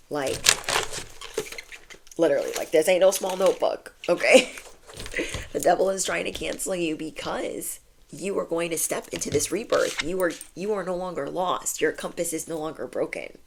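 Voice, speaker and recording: Chatty woman doing a spiritual reading vlog, low voice, lots of vocal fry. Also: moderate pace, background noise. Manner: chatty, affirming, says "like" often. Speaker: spiritual reader. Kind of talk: casual channeled message to viewers.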